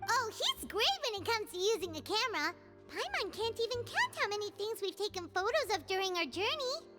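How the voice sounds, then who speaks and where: A woman voicing a tiny childlike fairy companion in a video game, squeaky, bubbly and naive. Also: sing-song, fairly quick, over loud music. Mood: excited. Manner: bubbly, naive, refers to self in third person.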